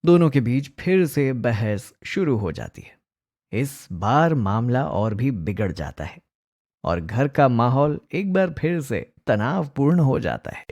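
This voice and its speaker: A man's voice: mid-range voice, big pitch swings, slow pace, a little mellow. A man narrating a scripted family story as its storyteller.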